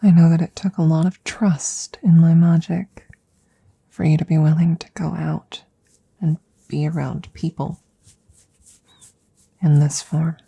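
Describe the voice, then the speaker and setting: A woman playing a gentle, protective witch in an ASMR roleplay, speaking softly and tenderly in breathy whispers, close to the mic. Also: deep voice, level tone, heavily acted. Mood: tender, reassuring. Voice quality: soft, breathy, often whispering. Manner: tender, slow, reassuring. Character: gentle protective witch soothing her werewolf familiar. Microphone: close microphone.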